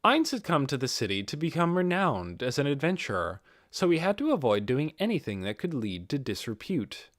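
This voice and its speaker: Expressive male audiobook narrator, dry sound. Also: fairly high pitch, brisk, hardly any pauses, no echo. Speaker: audiobook reader. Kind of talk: scripted fantasy audiobook narration.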